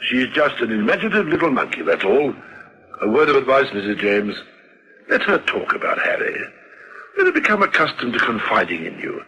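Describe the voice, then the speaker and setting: A lively, kindly old doctor. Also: male voice, medium pitch, muffled recording, slight background noise. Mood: jovial, kindly. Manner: avuncular, chatty, unhurried. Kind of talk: radio drama acting.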